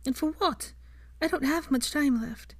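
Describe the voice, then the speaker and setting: A woman voicing an eager, bookish young woman who talks brightly, earnestly and a little breathlessly. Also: sits high, a little slow, creaky, no room sound, voice pushed up.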